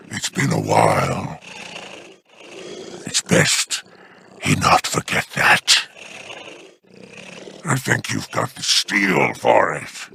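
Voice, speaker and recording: Slow, gravelly schemer; bright edge, wheedling game villain. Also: male voice, mid-range voice, slight background noise, full character voice. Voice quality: gravelly, drawling. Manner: wheedling and theatrical. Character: sly, smug alien crime lord, older male. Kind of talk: video game voice acting.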